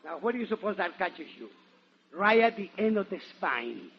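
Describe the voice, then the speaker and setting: Man, theatrical comic monologue, very lively swooping delivery, playful, muffled noisy 1940s broadcast. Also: high-pitched, normal speed, faint music behind. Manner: theatrical, playful, breaking into sung syllables and shouts. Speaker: guest comedian. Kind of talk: comic musical monologue to a live audience.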